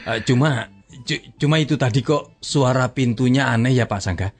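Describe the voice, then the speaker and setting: A man's voice: mid-range voice, normal speed, soft background music, natural voice. An actor playing an easygoing, agreeable male coffee stall keeper in a radio drama, with a folksy Javanese-influenced Indonesian accent. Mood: curious, friendly.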